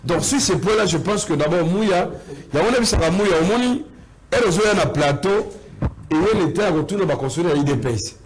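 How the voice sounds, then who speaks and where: A man's voice: high voice, expressive, moderate pace, poor audio. A man with a Congolese accent explaining his points emphatically as a guest in a political debate.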